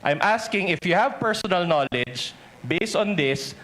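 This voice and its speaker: A man's voice: sits high, animated, moderate pace, fairly bright tone. A man with a Filipino accent cross-examining as defense counsel in a hearing, pressing and insistent. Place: hearing room. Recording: noisy hearing-room ambience.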